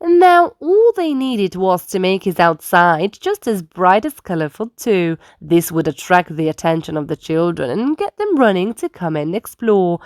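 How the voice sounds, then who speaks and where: Female storyteller for children's picture book: expressive, carefully phrased, very lively intonation, dry close recording. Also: fairly low pitch, measured pace, hardly any pauses, dry recording. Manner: careful, animated phrasing for young listeners. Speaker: storyteller reading aloud.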